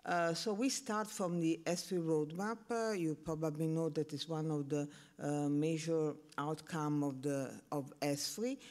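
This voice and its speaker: A man from Italy with an Italian accent, a non-native speaker giving a conference presentation and often pausing with "uh". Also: fairly high pitch, fairly even intonation, a little mellow. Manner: halting, repeats words and fills with uh. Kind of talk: conference talk.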